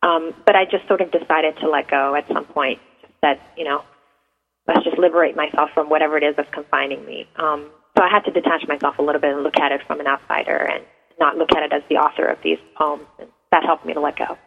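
A woman's voice: low voice, steady tone, fast talker, vocal fry. Persian-native woman on a tinny phone line. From Iran. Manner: hesitant and reflective, many ums. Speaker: guest poet. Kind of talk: radio interview answers.

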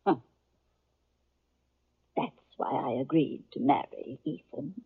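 Husky, shrewd old frontier aunt. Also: female voice, very deep voice, slow pace, exaggerated voice. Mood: brisk, knowing. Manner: tart, matter-of-fact. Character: brisk, shrewd, no-nonsense older frontier aunt. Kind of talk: 1950s radio western acting. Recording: muffled 1950s radio recording.

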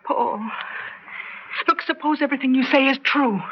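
An actress playing Martha, a worried middle-aged wife in a radio drama, soothing yet firm. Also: female voice, sits high, moderate pace, natural voice. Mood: pleading. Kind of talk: 1970s radio drama acting. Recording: dull lo-fi old radio recording.

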